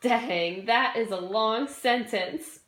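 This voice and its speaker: A young woman with a moderately high voice gives a casual, jokey student history presentation. Her delivery is scripted but informal, and the room adds a pronounced echo. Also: slow, fairly bright tone. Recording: roomy, echoey room sound.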